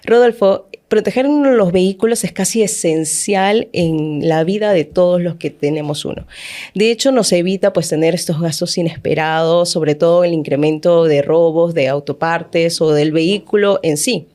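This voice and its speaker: A woman's voice: fairly low pitch, normal speed, warm tone. A woman hosting a podcast interview, talking conversationally and ending points with tag questions. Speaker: podcast host.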